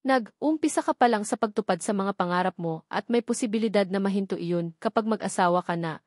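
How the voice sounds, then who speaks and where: A woman voicing a serious, caring, wealthy older lady giving measured advice in an audiobook. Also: mid-range voice, darkish tone, no echo, studio quality, lightly acted. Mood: serious, concerned. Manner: grave, measured advising. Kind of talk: audiobook character dialogue.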